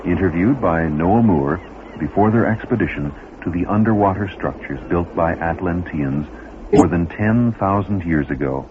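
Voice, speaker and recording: A man's voice: deep voice, moderate pace. A man working as a radio host, reading scripted introductions to interviews. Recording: muffled phone-like radio recording with noise.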